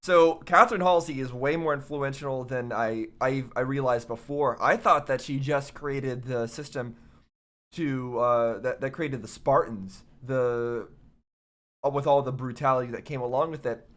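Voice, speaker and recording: A man's voice: mid-range voice, steady tone, measured pace, fairly bright tone. A man giving casual, running reaction commentary on video game cutscenes.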